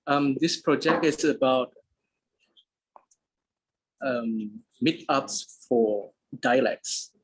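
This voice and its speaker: Casting: young man from Medan, Hokkien native, husky tone, hesitant and filler-heavy in a casual interview. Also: medium pitch, very slow, pauses often, poor audio. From Medan, Indonesia. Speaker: interviewee, polyglot.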